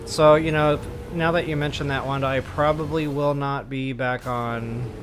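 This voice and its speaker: A man's voice: medium pitch, flat delivery, normal speed. Casual male game streamer, noisy recording. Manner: rambling, folksy slang. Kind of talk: casual live gameplay walkthrough commentary.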